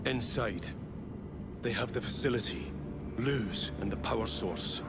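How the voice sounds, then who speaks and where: A grave military commander in a video game, voiced by a man. His pitch is average and he delivers his briefing with solemn, measured authority. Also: very noisy, natural voice. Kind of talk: dramatic video game voice acting.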